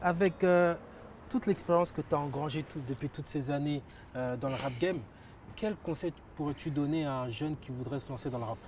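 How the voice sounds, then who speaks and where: A man's voice: fairly high pitch, moderate pace, a little mellow, slight background noise. A man interviewing a guest, asking questions and encouraging him with short acknowledgments.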